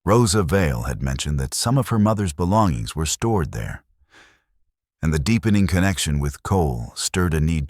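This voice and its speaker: A man narrating a romantic western story in a measured, earnest way. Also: extremely low pitch, dry recording, clean studio sound. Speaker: audiobook narrator.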